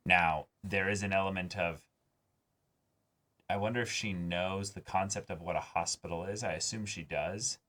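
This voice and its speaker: A man with a low voice talks through his opinions as co-host of a reaction show. He keeps it casual and conversational, putting on imagined reactions to make his points. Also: steady tone, moderate pace, sharp tone. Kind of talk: casual discussion and critique.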